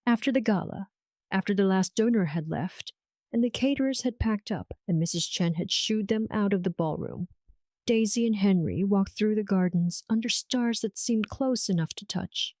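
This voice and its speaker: A woman's voice: mid-range voice, normal speed, darkish tone, dry recording. A woman storyteller reading a scripted romance story as narration.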